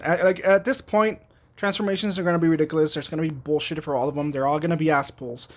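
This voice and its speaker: Man, high-ish voice, casual reviewer talking from his desk; rambling, full of "um" and restarts, blunt and excited. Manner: rambling, frequent fillers and restarts; enthusiastic, blunt opinions. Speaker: YouTube reviewer. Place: at home at his computer.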